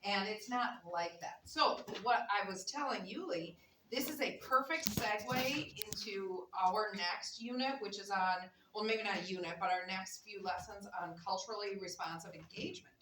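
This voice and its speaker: A woman's voice: mid-range voice, moderate pace. Woman teacher chatting to her class, some ums, far from the mic, echoey room, noisy background. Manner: chatty, with fillers. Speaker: instructor. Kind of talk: introducing a guest to a class.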